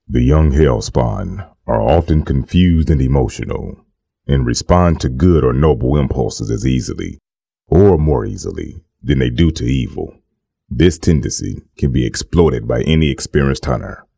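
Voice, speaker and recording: Very deep gravelly male actor as grave manual narrator. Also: expressive, dark tone, no echo, clean studio sound, full character voice. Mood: cold, instructive. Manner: measured, formal, instructional. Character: grave, clinical narrator reading a demon-hunting manual. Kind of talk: scripted narration.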